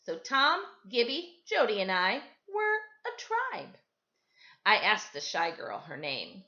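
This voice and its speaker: A woman reading a children's story aloud in an animated, expressive way. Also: mid-range voice, slow, lo-fi sound. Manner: animated, dramatizes dialogue. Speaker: storybook reader, teacher.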